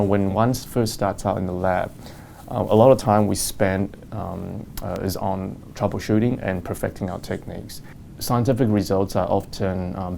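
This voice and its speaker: A man's voice: low-pitched, gravelly, dark tone, a little hiss or hum. A man who runs a lab, reflecting in a hesitant way full of fillers and "you know". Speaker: lab head scientist. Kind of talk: interview answers, reflective.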